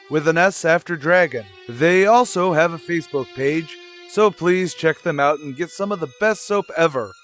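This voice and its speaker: A man's voice: a little high, fairly bright tone. A man hosting a podcast, reading a scripted sponsor message. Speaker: podcast host.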